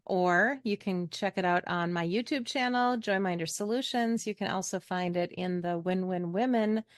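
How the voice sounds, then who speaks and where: A woman's voice: medium pitch, moderate pace, dry recording, studio quality. A middle-aged American woman hosting a podcast in a casual, chatty, joking way. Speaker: podcast host.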